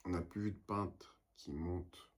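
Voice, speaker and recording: A man's voice: sits low, fairly even intonation, measured pace. A young man and painter talking in a casual vlog monologue, rambling and self-motivating, dropping English phrases now and then.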